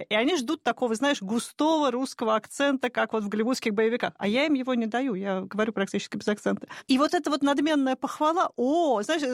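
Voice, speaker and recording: Russian woman, talks fast and emphatic, kinda high voice, dry close mic. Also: no room sound. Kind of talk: casual studio discussion.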